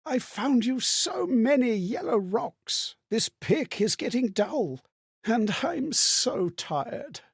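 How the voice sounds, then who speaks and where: An actor playing an exhausted, frightened young child forced to work, pleading weakly in a video game. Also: male voice, extremely high pitch, big pitch swings, vocal fry, husky, no echo, clean studio sound, full character voice. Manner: pleading, weak, slow. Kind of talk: acted video game memory.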